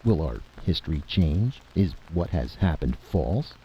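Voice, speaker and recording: A man voicing a cautious, earnest economics expert, formal and deliberate, in a story reading on a dull, noisy recording. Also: extremely low pitch, full character voice. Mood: flustered. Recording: dull lo-fi audio with steady hiss.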